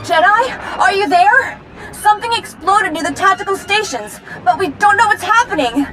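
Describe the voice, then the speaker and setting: An actress playing an eager, earnest young female Jedi companion in a video game, excitable and hurried. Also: extremely high pitch, over music, poor audio. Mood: anxious, eager. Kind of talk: scripted video game acting.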